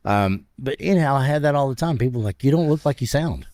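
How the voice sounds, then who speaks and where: A man in his fifties with a deep, raspy voice, a radio personality telling stories with laughs in a casual interview. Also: quick pace, dry recording, studio quality. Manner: animated, laughs between stories. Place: stadium club room.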